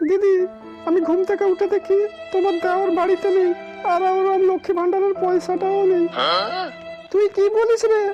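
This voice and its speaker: An extremely high, squeaky village wife from a comic cartoon. She is bossy and suspicious, snapping and nagging in repeated commands over clearly audible music. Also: flat delivery, moderate pace, lo-fi sound. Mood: alarmed, scolding. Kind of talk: comic Bengali cartoon dialogue.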